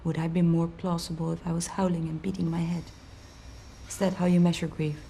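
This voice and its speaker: Female suspect, TV drama: soft-toned, lowish, composed, terse, defiant. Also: little pitch movement, fairly quick, gravelly, a little hiss or hum. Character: composed, defiant multilingual female researcher under questioning. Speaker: suspect being questioned. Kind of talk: naturalistic TV drama dialogue.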